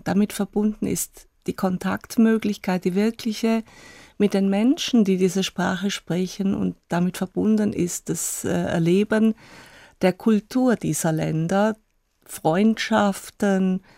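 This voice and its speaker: A woman, chair of a teachers' association, giving measured interview answers in long flowing sentences. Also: medium pitch, creaky, mellow, dry recording, studio quality.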